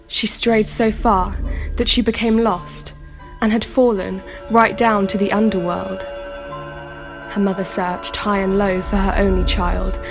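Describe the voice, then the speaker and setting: A thoughtful young daughter in a radio play, acted by a woman. She narrates in an unhurried, measured storybook manner at a medium pitch. Soft music plays behind her. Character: pensive daughter telling her own dark fairy tale.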